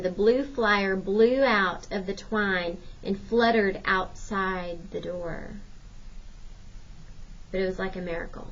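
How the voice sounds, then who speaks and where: A woman with a mid-pitched voice reads a storybook narrative aloud in the role of a teacher. The audio is slightly dull and lo-fi. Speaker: teacher reading a novel aloud.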